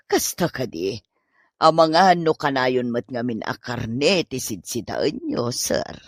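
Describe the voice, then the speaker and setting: A man's voice: medium pitch, big pitch swings, takes it slowly, dry recording, studio quality, exaggerated voice. A man with an Ilocano accent playing a patient, plain-speaking doctor in a comedy drama. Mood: admonishing.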